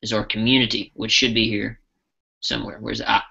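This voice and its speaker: A man walks through a software tool in a casual live demo, his voice bright with a gravelly edge. He talks loosely and restarts his phrases often. The sound is dull and lo-fi, like webinar audio. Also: fairly low pitch, little pitch movement, fairly quick. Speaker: software trainer.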